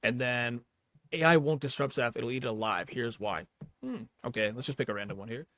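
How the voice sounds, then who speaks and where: A man's voice: mid-range voice, a little fast, fairly bright tone, dry recording, studio quality. A man giving casual, unscripted tech commentary over a screen recording, slangy and reacting aloud as he goes. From Pakistani background. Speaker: tech YouTuber, host.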